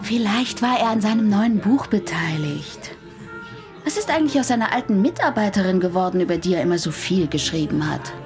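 An actress playing a sharp-tongued, possessive woman in a German radio play, speaking in a teasing, pointed way. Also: mid-range voice, lively intonation, fairly quick, soft background music, lightly acted. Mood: skeptical. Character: sharp-tongued, possessive female companion of a doctor.

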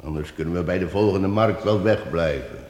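An actor playing a calm, shrewd wandering minstrel in a radio play, speaking in measured tones. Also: male voice, sits low, echoey room, in a large hall, lightly acted. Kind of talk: radio drama acting.

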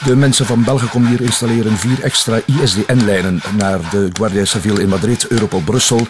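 An actor with a Flemish accent playing a brisk, businesslike police officer in a radio drama. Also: male voice, lowish voice, steady tone, noisy background, lightly acted. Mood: brisk, dutiful.

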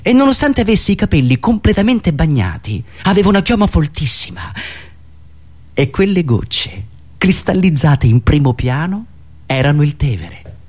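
A man performing a theatrical satirical monologue, ironic, with dramatic pauses and emphatic swings from hushed asides to raised delivery. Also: fairly high pitch, very animated. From Italy. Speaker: writer and performer of a satirical radio monologue show.

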